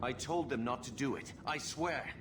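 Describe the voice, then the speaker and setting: A man's voice: medium pitch, normal speed, background noise, natural voice. An actor playing a frightened, pleading male conspirator in a video game. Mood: panicked, pleading. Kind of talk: video game cutscene acting.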